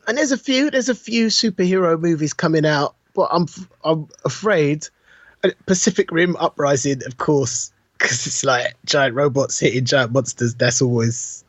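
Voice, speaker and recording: A middle-aged man chatting casually about films as a podcast co-host, telling things in an animated, slangy way. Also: on the high side, moderate pace, no room sound.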